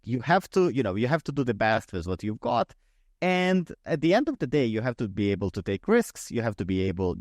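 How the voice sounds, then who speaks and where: A man in his thirties from Ukraine talks in a middle-pitched voice, recorded dry and close. He speaks thoughtfully and conversationally as a podcast guest, often restarting and repeating words as he gathers his thoughts. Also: darkish tone, no room sound. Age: 30s. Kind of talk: conversational interview.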